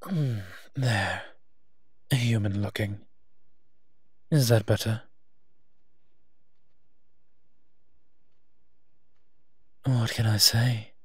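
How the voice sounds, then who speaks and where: Man as a slow, husky, low-voiced wry monster. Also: dry recording. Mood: wry, impatient. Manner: slow, teasing, with hums and pauses. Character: wry, dark-clad male monster partnered with a human detective. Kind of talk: roleplay audio drama acting.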